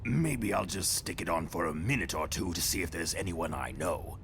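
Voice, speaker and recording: Man as gravelly, haughty ape villain. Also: low voice, lively intonation, normal speed, fairly bright tone, slight background noise, full character voice. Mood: dry, weary. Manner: dry, exasperated, haughty. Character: weary, indignant, snobbish male ape mutant villain. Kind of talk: motion comic voice acting. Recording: music under the voice.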